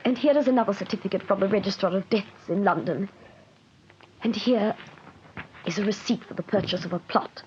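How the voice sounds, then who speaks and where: An actress playing a scheming widow who poses as a grieving wife, in 1950s radio drama style. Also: female voice, medium pitch, brisk, long pauses, a little mellow, lightly acted. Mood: composed. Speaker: claimant widow. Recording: muffled vintage radio transcription with hiss.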